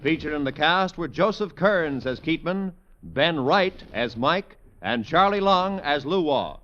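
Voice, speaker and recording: An American man announces in polished vintage radio style. His voice is fairly high and swings with punchy promotional energy. The old recording is dull, with music behind. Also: lively intonation, a little slow, a bit bright. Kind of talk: 1950s radio announcing, scripted.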